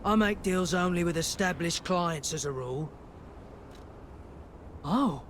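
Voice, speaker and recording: A man's voice: high-pitched, lively intonation, measured pace, noisy background. An actor playing a sly, ingratiating male dealer. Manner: smooth, ingratiating.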